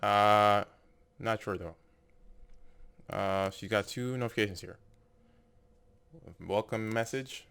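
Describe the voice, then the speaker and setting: A man's voice: on the low side, takes it slowly, vocal fry, long pauses, fairly bright tone, clean tone. A man doing casual video game commentary, reading on-screen text aloud and musing tentatively as he goes. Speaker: gameplay video narrator. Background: game music.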